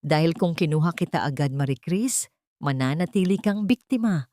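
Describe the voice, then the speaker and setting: A woman's voice: deep voice, lively intonation, moderate pace, mellow. A woman voicing a stern, wealthy old grandmother in an audio storybook, blunt, commanding and solemn. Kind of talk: dramatic audio storybook dialogue.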